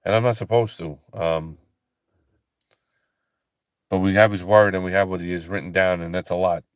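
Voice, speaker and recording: A tired man hosting a late-night ministry livestream, talking slowly and softly with a calm, relaxing voice, with many pauses and restarts. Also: low-pitched, no echo. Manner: slow, halting, soft-spoken, many fillers and restarts. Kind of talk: casual late-night livestream chat with viewers.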